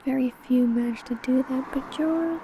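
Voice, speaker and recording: A woman playing a seductive, possessive female vampire who stalks her prey in an intimate ASMR roleplay, teasing and menacing in a breathy, often whispered voice. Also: high-pitched, background noise. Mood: coy, confessing. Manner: slow, teasing, menacing, deliberate.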